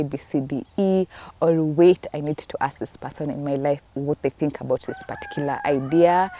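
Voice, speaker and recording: A woman's voice: low-pitched, dark tone. A woman, hosting a solo podcast in a rambling, chatty way with many fillers. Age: 20s. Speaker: podcast host. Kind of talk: casual solo podcast talk.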